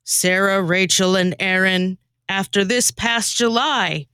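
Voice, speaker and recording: A woman's voice: medium pitch, takes it slowly, pushed and bright, clean tone, no echo, clean studio sound. A woman on a podcast, bantering casually and narrating comic verse like a storybook. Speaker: former podcast co-host, guest writer and narrator.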